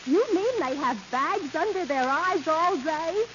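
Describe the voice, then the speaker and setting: A high, swooping voice of a ditzy, guileless woman in old radio comedy. She delivers silly lines with complete innocence. The recording is hissy and muffled, as from the 1930s. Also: animated, unhurried. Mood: puzzled, innocent. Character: ditzy, naive, chatty comic woman.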